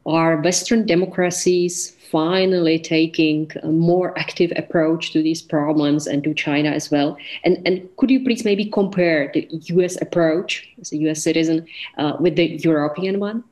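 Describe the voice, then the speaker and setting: A woman moderating a formal interview, putting prepared questions in a polite, measured way. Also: low voice, steady tone, darkish tone.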